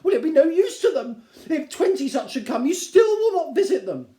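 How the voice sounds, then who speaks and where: A man voicing a fussy, excitable middle-aged wife, gushing and plaintive, in a theatrical reading of a classic novel. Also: very high voice, moderate pace, voice pushed up. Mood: wounded, plaintive. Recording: roomy, echoing indoor sound.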